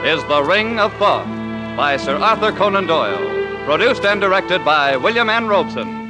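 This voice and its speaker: A man's voice: high voice, very animated, moderate pace, loud music behind the voice, poor audio. A man announcing a 1940s radio drama in a formal, dramatic scripted style. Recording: vintage 1940s radio broadcast.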